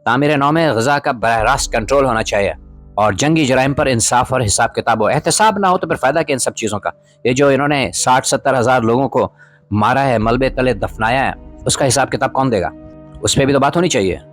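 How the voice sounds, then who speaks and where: A man's voice: medium pitch, brisk. A man narrating scripted political commentary as a podcast host, in a formal, literary manner with rhetorical emphasis. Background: background music.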